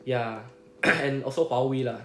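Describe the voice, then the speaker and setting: A man's voice: mid-range voice, little pitch movement, a little slow, a bit bright, reverberant. A young man with a Malaysian English accent, a Chinese speaker from home, explaining things in casual chat as a podcast co-host, ending phrases with "lah" and "right?".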